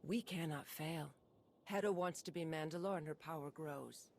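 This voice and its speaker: A woman's voice: deep voice, moderate pace, darkish tone, a little hiss or hum. A woman acting a grim, honour-bound warrior hunter in a video game, formal and solemn in clipped statements. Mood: solemn, determined.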